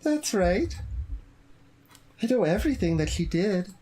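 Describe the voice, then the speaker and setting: A woman plays a sweet teenage girl who leads her club. She speaks slowly and calmly at a middle pitch, with a clingy devotion. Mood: devoted. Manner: calm, slow, clingy devotion.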